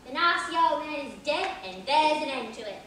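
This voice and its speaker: A woman's voice: high voice, lively intonation, moderate pace, a bit bright, slight background noise. A woman playing a tart, accusing old lady from a care home in an amateur stage comedy, recorded at a distance in an echoey theatre. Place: large theatre stage, far camera.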